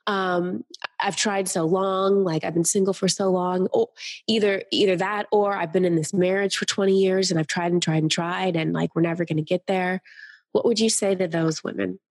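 A woman hosting a podcast, affirming her guest and asking questions in a lively, animated way. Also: lowish voice, normal speed. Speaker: podcast host. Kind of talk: supportive podcast interview.

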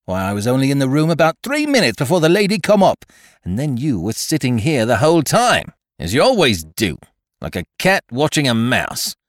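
A man voicing a sharp-tongued young chambermaid who scolds in common speech. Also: medium pitch, wildly swooping pitch, brisk, dry recording, clean studio sound, pitched above the performer's own voice. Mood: indignant.

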